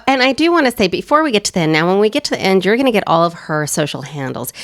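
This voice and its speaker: A woman hosting a casual sex-education chat, hoarse and raspy from a cold, upbeat and playful. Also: low-pitched, no echo, clean studio sound. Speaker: host.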